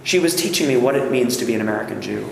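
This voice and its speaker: A man's voice: mid-range voice, lively intonation, rapid-fire, gravelly. A man, a rabbi, leading a workshop and talking conversationally to a gathering, often checking in with the listeners. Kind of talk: speech to a gathering. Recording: echoey hall, faint room noise.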